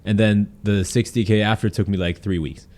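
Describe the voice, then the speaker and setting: A young man from Germany and the UK, a content creator, telling stories as a guest in a casual podcast interview. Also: on the low side. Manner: quick, animated storytelling with frequent "like".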